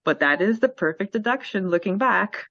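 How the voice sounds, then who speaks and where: A woman's voice: mid-range voice, lively intonation, normal speed, fairly bright tone, dry recording, dull audio. A woman co-hosting a casual fan podcast, upbeat and giggly as she reads listener questions. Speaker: podcast co-host.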